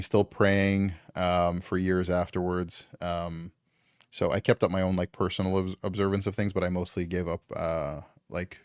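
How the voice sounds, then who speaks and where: A man's voice: low-pitched, darkish tone, clear, resonant tone, dry recording, studio quality. A man from Canada, a guest in casual conversation, talking loosely with lots of ums and likes.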